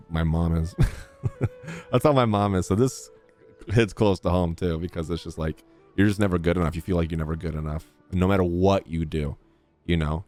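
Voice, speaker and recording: A man's voice: low-pitched, darkish tone. A man giving casual, blunt, slangy gameplay commentary and talking back to the characters, close on a streaming mic. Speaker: game streamer.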